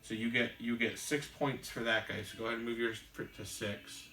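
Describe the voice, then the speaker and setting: A man's voice: medium pitch, steady tone, brisk, pushed and bright. A man hosting a board game show, patiently explaining the rules step by step as he plays, with frequent ums. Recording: roomy room, mic at a distance, faint hiss.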